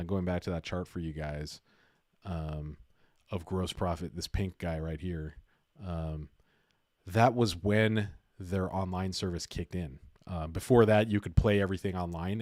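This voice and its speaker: A man's voice: sits low, dry recording, clean studio sound. A man hosting a casual livestream, talking informally and thinking aloud as he goes. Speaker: livestream host. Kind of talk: casual livestream chat about investing.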